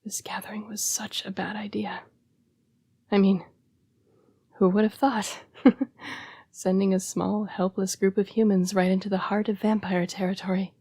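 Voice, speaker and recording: A woman playing a young-seeming, formal and apologetic female vampire in an intimate audio roleplay, breathy and often dropping to a whisper. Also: mid-range voice, level tone, mellow, dry recording. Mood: bitter, sarcastic. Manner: formal, polite, measured phrasing. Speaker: vampire council's correspondence assistant.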